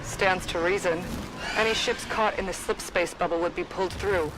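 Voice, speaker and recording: Man as a high-voiced analytical soldier. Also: steady tone, background noise, close to an everyday voice. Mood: cool, curious. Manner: measured, analytical. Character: cool, analytical soldier, observant and wry. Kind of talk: video game cutscene acting. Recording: loud music behind. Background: loud game music.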